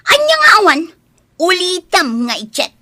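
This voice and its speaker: A woman with a Filipino accent playing a haughty, jealous, bossy office superior in a radio drama, sharp and dramatic. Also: very high voice, wildly swooping pitch, moderate pace, exaggerated voice. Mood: angry, bossy. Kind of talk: Ilocano radio drama acting.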